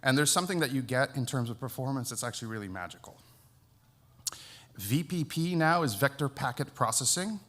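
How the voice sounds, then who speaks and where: A Dutch man speaking English with an American-sounding accent, presenting a technical conference talk. His voice sits at an ordinary middle pitch. He explains things in a relaxed, self-deprecating way. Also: brisk. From Netherlands. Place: conference hall.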